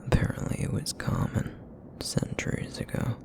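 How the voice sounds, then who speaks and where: A man voicing a broody, feral essence eater in an ASMR roleplay, with a raspy, rumbling murmur and a weary, guarded, reluctant manner. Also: lightly acted. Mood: brooding. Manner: brooding, reluctant, slow and terse.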